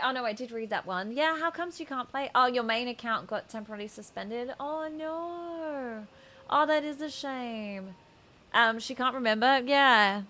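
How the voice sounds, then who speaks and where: Bright-voiced woman livestreaming a game. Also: a little high. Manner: chatty, emotionally invested, addresses chat. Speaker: game streamer. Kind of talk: casual livestream game commentary.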